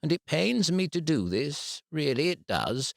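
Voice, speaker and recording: A prim, formal woman head teacher who keeps a calm, measured tone, as a man voices her. The pitch is raised well above his own, and the sound is close and dry. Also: creaky, no echo, exaggerated voice.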